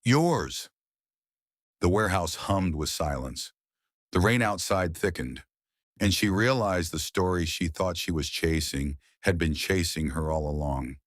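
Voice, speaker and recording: A man reading a noir thriller audiobook in a measured, brooding style. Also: sits low, dry recording, clean studio sound. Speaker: audiobook narrator. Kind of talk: scripted audiobook narration.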